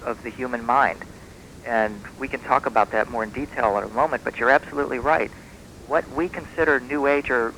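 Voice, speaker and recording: A man, a guest author in a radio interview, explaining things in an earnest, measured and emphatic way, taped from shortwave radio. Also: medium pitch, fairly bright tone, a little hiss or hum, poor audio. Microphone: radio broadcast.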